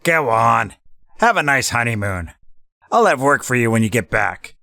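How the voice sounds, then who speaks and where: Man playing a gravelly, hard-edged crime boss. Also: mid-range voice, very animated, normal speed, bright, forceful tone, exaggerated voice. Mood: gruff, approving. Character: hard-boiled, commanding male crime boss. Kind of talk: noir comedy audio drama acting.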